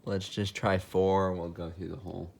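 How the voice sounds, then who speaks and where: A man's voice: deep voice, level tone, moderate pace, creaky. A man giving a casual, unscripted technical walkthrough in a matter-of-fact, explanatory way, as a hobbyist showing equipment. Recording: noticeable background noise with clicks.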